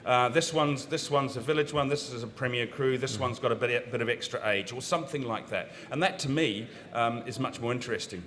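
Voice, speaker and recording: A man's voice: mid-range voice, fairly quick, few pauses, fairly bright tone. A man with a New Zealand accent, speaking frankly as a wine consultant on a seminar panel, giving his opinions in a conversational way. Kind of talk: panel discussion. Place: seminar room.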